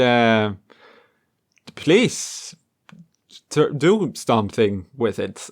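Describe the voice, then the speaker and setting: A man's voice: fairly high pitch, fairly bright tone. A man hosting a casual music gear vlog, talking to viewers in a rambling, hesitant way and often trailing off mid-thought. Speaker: music gear video creator and host.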